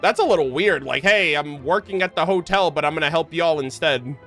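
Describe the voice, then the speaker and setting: A man's voice: a little high, brisk, a bit bright, faint music behind. A man streaming a game, giving casual, slangy, joking reaction commentary.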